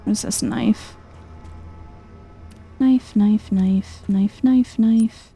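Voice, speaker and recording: A soft-spoken woman giving chill, casual game commentary in an ASMR vibe, murmuring as if to herself. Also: mid-range voice, fairly even intonation, extremely slow, breathy edge, soft background music. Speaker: gameplay streamer.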